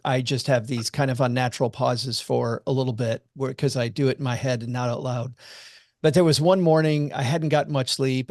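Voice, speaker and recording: A man telling a personal story as a podcast guest, earnest and animated. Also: mid-range voice, vocal fry, no echo, studio quality. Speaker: podcast guest, money commentator. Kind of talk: casual storytelling chat.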